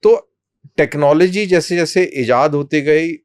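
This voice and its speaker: A man speaking as an interview guest, explaining in measured, deliberate short phrases with pauses. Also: on the high side, clear, resonant tone, no echo, studio quality. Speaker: army colonel, interview guest.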